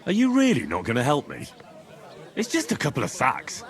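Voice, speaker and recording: A man's voice: a little high, wildly swooping pitch, normal speed, some chatter behind. A man playing a practical, plain-spoken young commoner adventurer in a video game, direct and down-to-earth. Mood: exasperated.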